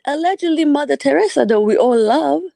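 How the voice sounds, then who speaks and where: A woman's voice: high-pitched, animated, fairly quick, gravelly, warm tone, no echo, studio quality. A woman from Ethiopia with an Ethiopian accent, calling in and rambling through philosophical musings, often repeating words. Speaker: caller. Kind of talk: casual call-in chat.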